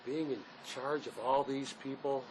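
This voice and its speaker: An actor playing a remorseful escaped convict who questions himself haltingly in naturalistic drama, recorded on location. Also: male voice, close to an everyday voice. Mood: agitated, questioning. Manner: searching, self-questioning, halting. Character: escaped convict, brooding, remorseful man wrestling with guilt. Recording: noisy location sound with steady ambient rumble.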